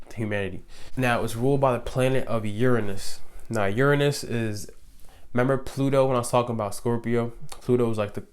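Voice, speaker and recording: A man's voice: fairly low pitch, clean tone. A young man with a cold, sniffling, explaining astrology in loose, slangy casual talk with lots of fillers. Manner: laid-back, slangy and loose, many fillers. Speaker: astrology YouTuber.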